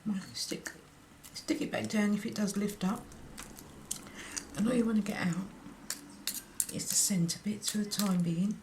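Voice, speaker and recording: Slow, croaky woman murmuring a craft demo. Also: medium pitch, darkish tone, a little hiss or hum. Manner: slow, half-murmured commentary while working, often dropping to a whisper. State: croaky voice from illness. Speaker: card-making tutor. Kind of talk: hands-on craft tutorial.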